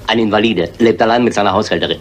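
A man's voice: fairly low pitch, very fast, close to an everyday voice. A man acting a helpful, plain-spoken village police sergeant in a German radio crime play. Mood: helpful, cautious.

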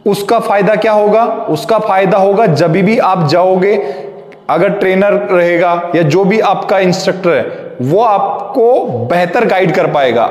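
A man, a gym trainer giving fitness tips slowly and deliberately, mixing in English phrases. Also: sits high, darkish tone. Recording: echoey hall reverb.

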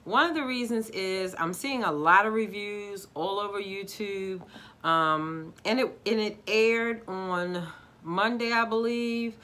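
A woman's voice: medium pitch, takes it slowly. A woman in her forties from Baltimore with a Baltimore accent, chatting casually to viewers in a living-room video review. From Baltimore, USA. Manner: animated, talks with her hands, addresses viewers as guys. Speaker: YouTube vlogger. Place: decorated living room, indoors.

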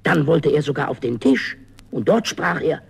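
Casting: male storybook narrator, gravelly and raspy, dramatic delivery. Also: fairly high pitch, sing-song, normal speed. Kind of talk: dramatic fairy-tale narration.

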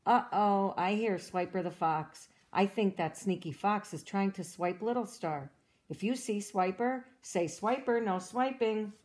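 A woman reading a children's storybook aloud as a cheerful young girl explorer, in a gentle, encouraging way that asks the listener questions. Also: mid-range voice, unhurried, lightly acted. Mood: upbeat. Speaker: storybook reader.

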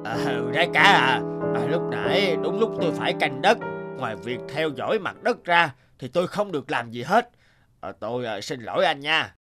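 A man's voice: on the high side, big pitch swings, normal speed, over music. A man voicing a sly, greedy, lazy fox in a children's cartoon, wheedling and hemming as he talks. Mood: sheepish, apologetic. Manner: wheedling, hesitant with many hems.

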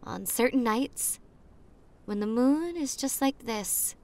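A young woman playing a popular college girl on a spooky romantic date, in an ASMR roleplay with a hushed, dreamy manner and dramatic pauses. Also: on the high side, expressive. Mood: dreamy, storytelling. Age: young adult.

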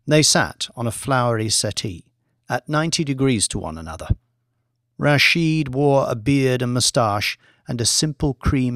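A man's voice: medium pitch, fairly bright tone, no room sound. Male audiobook narrator, dry close sound. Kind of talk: scripted thriller narration.

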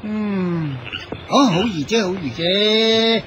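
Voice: medium pitch, slow pace, dull audio, background noise, natural voice. An actor in a Cantonese radio drama playing a mad, mischievous holy monk with a clownish, teasing way of exclaiming. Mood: jovial.

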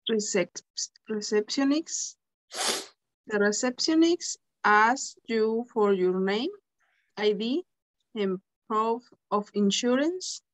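Slow, halting Spanish-speaking woman reader. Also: fairly high pitch, lively intonation, low-quality recording. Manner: slow, halting reading with hesitations. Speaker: English student. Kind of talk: reading aloud in an online English lesson.

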